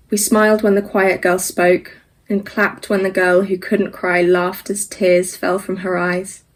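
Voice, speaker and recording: A young woman speaks in a sincere, heartfelt way with a mid-range voice. Her intonation stays fairly even, as in a personal video recording. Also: medium pitch, poor audio. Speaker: young actor.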